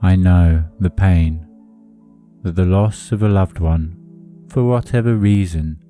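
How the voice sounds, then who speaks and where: A man narrating a hypnotic guided meditation in a soothing, deliberate manner with measured phrasing. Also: low voice, warm tone. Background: music under the voice.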